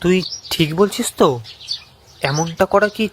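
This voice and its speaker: A man's voice: sits high, a little slow. A man playing a worried, hesitant young friend in a horror cartoon. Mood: surprised, doubtful. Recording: faint noise with chirping birds.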